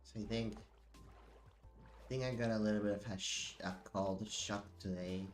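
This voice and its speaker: A man's voice: fairly low pitch, steady tone, a little slow, soft background music. A man live-streaming a video game from home, chatting in a rambling way and sounding sniffly from allergies. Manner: rambling and chatty, many "like"s and self-corrections. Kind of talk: casual live-stream chat while playing. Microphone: desk microphone.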